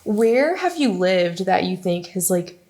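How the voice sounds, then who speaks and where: A woman's voice: lowish voice, sing-song, unhurried, a little mellow. Young woman hosting a student interview. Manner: eager, many "like" fillers. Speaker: student podcast host. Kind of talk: casual interview chat.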